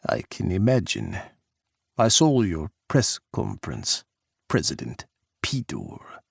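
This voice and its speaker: A man voicing an arrogant Russian president, rough and grating, with a Russian accent and a condescending manner. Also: deep voice, wildly swooping pitch, slow, dry recording, clean studio sound, pitched below the performer's own voice, exaggerated voice. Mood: scornful. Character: arrogant Russian male president, gruff and dismissive.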